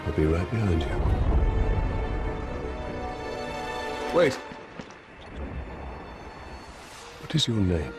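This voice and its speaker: An actor playing a grim, resolute ranger warrior in a video game cutscene. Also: male voice, sits low, very animated, fast, over music. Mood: terse, determined.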